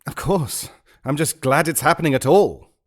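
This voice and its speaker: A man's voice: fairly high pitch, moderate pace, natural voice. An actor playing a smug, flippant man in an audio drama, with a glib, breezy manner. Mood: relieved, polite. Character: smug, flippant, well-off man asking favours.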